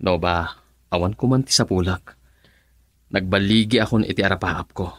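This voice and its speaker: A man's voice: low-pitched, normal speed, a bit bright, no echo, clean studio sound. An actor with an Ilocano accent playing a successful, self-assured man in a radio drama. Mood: boastful.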